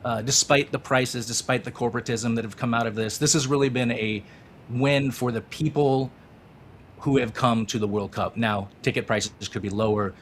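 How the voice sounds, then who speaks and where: A man's voice: medium pitch, fairly even intonation, normal speed, slight background noise. A man co-hosting a casual soccer talk show, enthusiastic and rambling, with many fillers. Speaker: podcast co-host.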